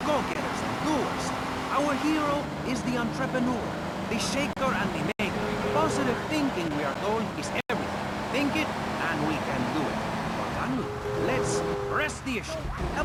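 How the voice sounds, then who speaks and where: An actor playing a nervous, self-important public radio host with a fussy, flustered, pompous manner. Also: male voice, very high-pitched, big pitch swings, moderate pace. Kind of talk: satirical radio talk show acting. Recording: loud car and street noise over it.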